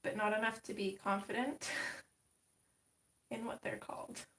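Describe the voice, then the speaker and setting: A woman's voice: mid-range voice, flat delivery, measured pace, gravelly, a bit bright. A woman hosting a casual livestream while coloring, chatty and thinking aloud, greeting viewers by name, getting over a cold with a slight cough. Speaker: livestream host. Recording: roomy echo.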